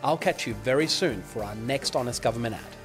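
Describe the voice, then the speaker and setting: A man hosting a podcast in a friendly, wry, measured way. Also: mid-range voice, over music. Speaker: podcast host. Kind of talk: interview and scripted sign-off.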